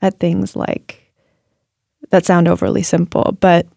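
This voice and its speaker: A woman's voice: on the low side, level tone, a little slow, gravelly, warm tone, no echo, studio quality. A woman writer answering interview questions in a thoughtful, searching way, with many fillers and restarts. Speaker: writer and guest.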